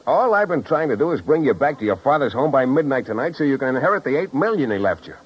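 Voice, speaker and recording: A man's voice: medium pitch, very animated, fast, husky. An actor playing a hard-boiled, wisecracking private detective, with wry, clipped tough-guy delivery in a 1950s radio drama style. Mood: brisk. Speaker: lead actor and narrator. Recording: dull old 1950s radio recording.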